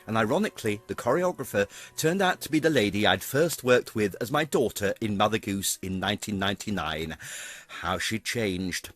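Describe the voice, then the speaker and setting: Older British man narrating, lively and dry-recorded. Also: on the low side, moderate pace, no echo. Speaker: pantomime performer narrating career retrospective. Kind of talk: scripted narration.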